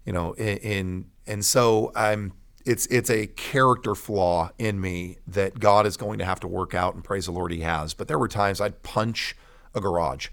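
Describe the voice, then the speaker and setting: Man, pastor, low voice, personal testimony, emphatic storytelling, deliberate pauses, repeated phrases, conversational. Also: steady tone, a bit bright. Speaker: pastor and podcast guest telling his testimony.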